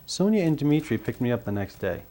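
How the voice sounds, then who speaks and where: Male actor as evasive male murder suspect, mid-pitched, halting, defiant, naturalistic film delivery. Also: very animated, warm tone, lightly acted. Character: defensive, evasive murdered man's son under interrogation. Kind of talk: naturalistic film drama acting.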